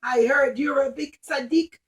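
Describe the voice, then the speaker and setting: Woman playing a loud, emphatic rich Roman lady. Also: sits high, moderate pace. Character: wealthy Roman woman lender, stern yet kind.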